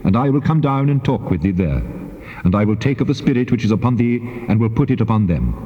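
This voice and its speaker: An actor playing a stern, commanding God in a dramatized scripture recording, solemn and declamatory. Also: male voice, lowish voice, lively intonation, fast talker, lightly acted. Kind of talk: dramatized scripture reading in archaic English. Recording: long reverb, slightly dull tape.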